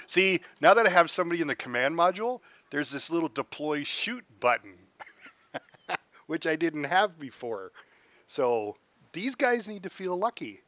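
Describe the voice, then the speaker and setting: A man's voice: fairly high pitch, big pitch swings, unhurried, long pauses, bright, forceful tone, dry recording, highs cut off. A man streaming a space game, chatting casually with his chat, joking and laughing often. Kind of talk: casual live gameplay commentary.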